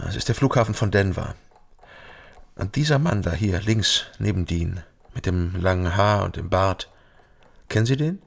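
An actor playing a terse, matter-of-fact private detective who narrates and questions people in a German radio crime drama. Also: male voice, low voice, expressive, normal speed, creaky, full character voice. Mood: probing. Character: male East Berlin private detective, ex-policeman, sober and probing.

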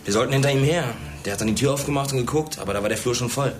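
A man's voice: mid-range voice, racing, lightly acted. A young man playing a guarded, streetwise teenage schoolboy with terse, slangy delivery in a German radio drama. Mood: recounting, tense.

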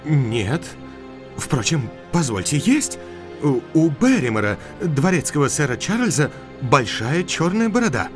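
An actor playing an excitable, earnest gentleman doctor in an audio drama, with music behind him. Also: on the high side, a little slow. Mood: surprised, earnest.

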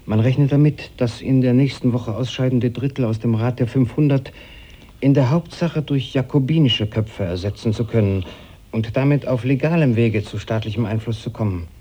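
An actor playing a cool, deferential secret police official who reports formally and discreetly in a German radio play. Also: male voice, mid-range voice, normal speed, warm tone, natural voice. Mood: urgent, discreet.

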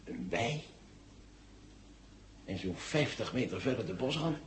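A man's voice: mid-range voice, expressive, unhurried, lightly acted. A man acting in a 1970 Dutch radio drama as an eager, obedient lookout who reports alertly in short phrases. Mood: hushed, watchful. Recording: noisy old radio drama recording.

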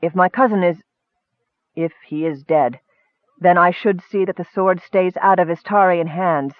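A man's voice: high-pitched, no echo, phone-quality sound. Man reading as a grave, reserved elf. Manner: measured, sober, formal. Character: grave, troubled male elf, reserved and resolute.

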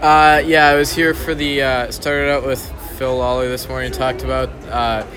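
A man chatting casually in a low-key, hesitant way as an event attendee. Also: level tone, normal speed, a crowd in the background. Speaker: event attendee being interviewed. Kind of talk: casual chat.

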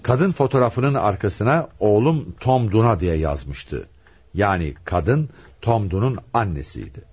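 Commanding, animated police commissioner. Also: male voice, lowish voice, measured pace, slightly rough, muffled recording. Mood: authoritative, revealing. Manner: emphatic, dramatic, questioning delivery. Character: commanding male police homicide commissioner, probing and theatrical. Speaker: actor in a radio play. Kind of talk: Turkish radio theatre acting.

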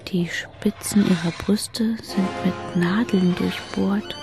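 A woman narrating a radio drama from a script in a hushed voice. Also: mid-range voice, fairly even intonation, takes it slowly, vocal fry, in a whisper, over music.

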